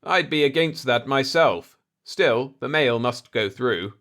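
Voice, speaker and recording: A man voicing a shrewd, calm space diplomat with a dry, assured manner in a sci-fi audiobook. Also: medium pitch, slow pace.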